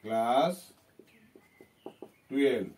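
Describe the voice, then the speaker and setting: A man with a Bengali accent teaching in a deliberate, dictation-like style, repeating phrases and checking that students follow. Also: mid-range voice, animated, darkish tone. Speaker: English teacher. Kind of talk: dictation-style lesson, spelling out and translating phrases. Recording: distant microphone.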